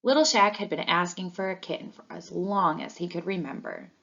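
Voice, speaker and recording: A woman reading a children's storybook aloud in an expressive narrating style, on a dull lo-fi recording. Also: fairly low pitch, fairly quick, creaky. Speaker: storybook reader.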